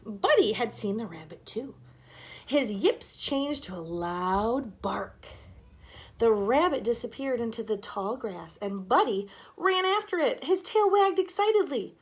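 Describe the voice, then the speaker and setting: Woman, storybook read-aloud, scripted narration, higher pitch, lively and varied melody. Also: a little slow. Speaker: storybook reader.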